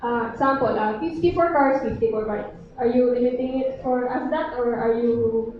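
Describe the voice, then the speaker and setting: A woman reporter asking halting questions, mixing in a few Filipino words. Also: on the high side, fairly even intonation, highs cut off, a little hiss or hum. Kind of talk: asking questions at a press conference. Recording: distant, echoey hall.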